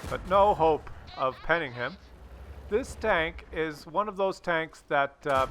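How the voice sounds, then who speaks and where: A man's voice: mid-range voice, sharp tone, slight background noise. A man giving casual commentary on a game replay, with a jokey, crude sense of humour and a habit of repeating his phrases. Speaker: host of gameplay commentary video.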